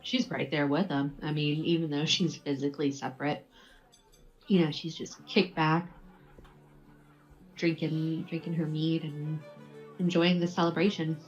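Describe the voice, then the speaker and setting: A woman playing a tabletop game, casually and haltingly explaining a character, in a dull lo-fi recording. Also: sits low, fairly even intonation, soft background music.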